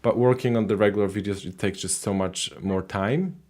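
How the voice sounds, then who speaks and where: A man's voice: on the low side, fairly quick, a little mellow. A man hosting a casual live call-in stream who talks hesitantly, with many fillers and easy laughter.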